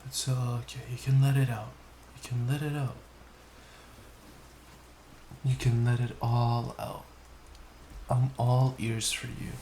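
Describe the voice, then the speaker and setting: A young man playing a tender, doting boyfriend in an intimate comfort roleplay, speaking softly and soothingly with repeated reassurances. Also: medium pitch, flat delivery, slow, pauses often, a little hiss or hum. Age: young adult. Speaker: boyfriend roleplay audio performer. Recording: roomy echo, faint background ambience. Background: rain sounds.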